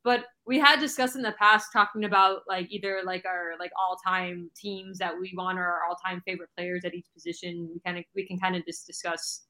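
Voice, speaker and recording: A woman co-hosting a casual podcast from home, chatty and quick to laugh. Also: on the low side, little pitch movement, bright, forceful tone, poor audio. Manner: chatty, laughs often, restarts. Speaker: podcast co-host. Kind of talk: casual chat. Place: home apartment.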